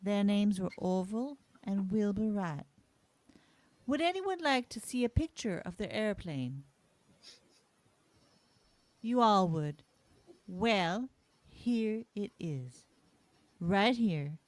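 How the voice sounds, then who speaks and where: A woman playing a schoolteacher giving children a history lesson in a radio play, in a measured, explaining manner. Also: medium pitch, expressive, no room sound. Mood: patient, instructive.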